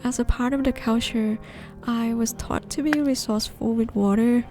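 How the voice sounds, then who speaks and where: Woman, mellow kinda high voice, calm gardening voice-over, faint music under it. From northeastern Thailand. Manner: measured, explanatory and gentle. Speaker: gardening vlogger. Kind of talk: calm scripted voice-over narration.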